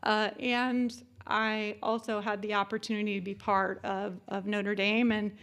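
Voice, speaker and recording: A woman's voice: mid-range voice, flat delivery, unhurried, a bit bright. A woman, giving a conference keynote in a chatty way with many fillers. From born in Japan, military family. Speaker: keynote speaker.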